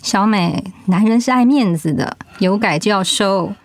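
Actress as an earnest woman, medium pitch. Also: measured pace, no echo, clean studio sound, close to an everyday voice. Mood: advising, reflective. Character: steady older friend, wife who survived cancer. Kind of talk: audio drama acting.

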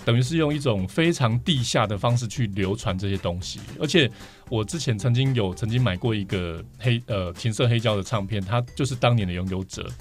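A man's voice: lowish voice, brisk, soft background music. A man with a Taiwanese Mandarin accent, talking and explaining at length as a guest in a casual podcast interview. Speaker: podcast guest, vinyl record collector.

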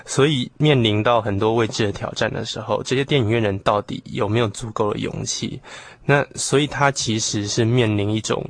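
Man, lowish voice, Taiwanese Mandarin accent, analytical panel discussion, explanatory, dry close sound. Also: normal speed, no room sound. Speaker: discussion panelist and story writer. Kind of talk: thoughtful discussion.

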